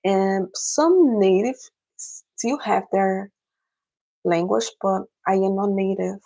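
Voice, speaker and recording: Brazilian livestream host, young woman, gravelly voice, halting English, relaxed chat. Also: medium pitch. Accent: Brazilian Portuguese. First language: Portuguese. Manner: halting, searching for English words. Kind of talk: casual livestream Q&A, answering chat.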